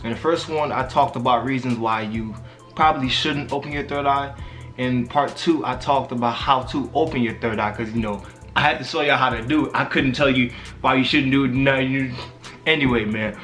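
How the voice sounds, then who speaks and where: A man's voice: medium pitch, fairly even intonation, normal speed, a bit bright, soft background music. Casual man hyping his viewers. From Haitian background. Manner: street slang, hypes the viewers. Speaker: spiritual vlogger. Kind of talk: casual vlog monologue.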